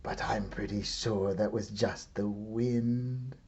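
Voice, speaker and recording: A man reading a children's story aloud as a teacher would, with theatrical comic timing and wry pauses. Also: on the low side, steady tone, a little mellow, slightly rough. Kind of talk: expressive storybook read-aloud.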